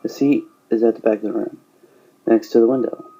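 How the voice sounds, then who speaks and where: A man's voice: medium pitch, steady tone, brisk, dark tone, husky. A man narrating a scripted story in the third person, on a lo-fi recording. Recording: dull lo-fi sound, music behind.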